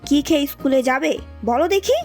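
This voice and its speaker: A woman plays a bossy village aunt in a cartoon, with a high, nagging voice. She is sharp-tongued and scheming, and music plays behind her. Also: quick pace. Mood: scolding. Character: shrill, scolding, scheming aunt and doting mother. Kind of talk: Bengali cartoon voice acting.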